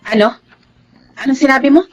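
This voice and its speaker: A woman playing a practical, sharp-tongued Filipino wife in a radio drama, with a pointed way of talking. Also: high voice, fairly quick, noisy background, natural voice. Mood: indignant. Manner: pointed, questioning.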